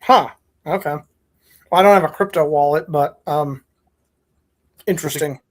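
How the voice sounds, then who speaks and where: A woman's voice: sits low, moderate pace. A woman hosting a casual podcast, chatting informally and a little hesitantly, and reading fan fiction aloud.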